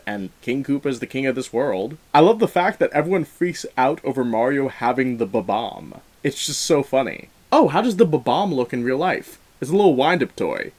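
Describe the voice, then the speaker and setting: A man hosting a film review show, talking casually and enthusiastically to viewers and sharing his opinions in a chatty way. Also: mid-range voice.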